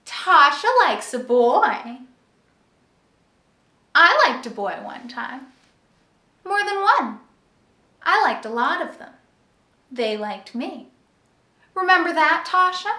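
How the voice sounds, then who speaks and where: Young woman acting a high-voiced, wounded teenage sister. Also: pushed and bright, distant mic, full character voice. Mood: bitter, taunting. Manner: intense, pointed, confiding. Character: wounded, needling teenage older sister, jealous and wistful. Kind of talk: stage monologue audition. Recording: echoey room.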